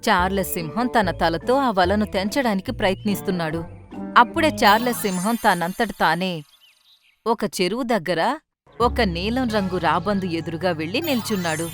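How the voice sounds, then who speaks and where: A woman narrates a scripted children's story. Her pitch is average for a female voice. Light music plays in the background. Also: moderate pace.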